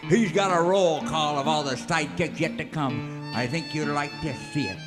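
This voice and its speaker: An actor playing an excitable old cowboy sidekick and camp cook, spinning folksy, rambling tall tales in a comic Western radio show. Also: male voice, on the high side, over music, lightly acted. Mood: wistful, storytelling. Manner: folksy, rambling, drawn-out tall-tale telling. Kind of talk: comic Western radio storytelling, performed live.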